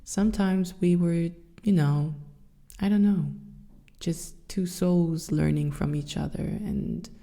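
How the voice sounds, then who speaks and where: A woman in her thirties with a German accent, hosting her own podcast and speaking softly and thoughtfully with some hesitant fillers. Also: on the low side, steady tone, slow pace, gravelly. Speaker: podcast host. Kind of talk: reflective solo podcast talk.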